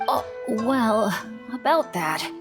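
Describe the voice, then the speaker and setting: An earnest, well-bred boy writer from a video game. The voice sits fairly high and speaks with formal, genteel politeness. Music plays clearly in the background. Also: expressive, slow pace. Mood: polite, hesitant. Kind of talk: English video game dub acting.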